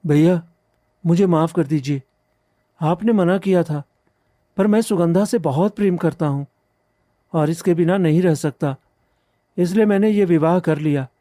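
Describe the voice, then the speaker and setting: A man's voice: on the high side, unhurried, pauses often, mellow, dry recording, lightly acted. A man playing a devoted young village bridegroom, earnest, respectful and pleading, in a cartoon story. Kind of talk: Hindi animated moral story dialogue.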